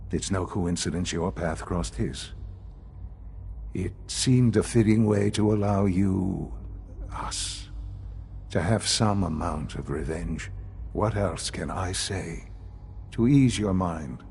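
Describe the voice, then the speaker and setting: An actor playing an elderly male leader of a secretive science institute in a video game, speaking in a measured, formal, gravely earnest way. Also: deep voice, a little mellow, a little hiss or hum, exaggerated voice. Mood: measured, defensive. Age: older. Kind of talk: video game dialogue acting.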